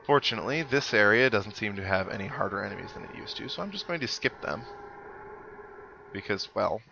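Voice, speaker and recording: A man's voice: fairly low pitch, expressive, a little fast, vocal fry, bright, forceful tone, highs cut off, a little hiss or hum. A man giving casual, dryly joking commentary as he plays a video game and reads its text aloud. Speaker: host of a video game playthrough. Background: video game music.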